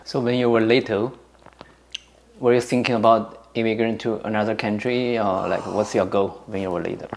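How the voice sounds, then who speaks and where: Male interviewer, lowish voice, curious questions, short reactions. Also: fairly even intonation, normal speed, creaky.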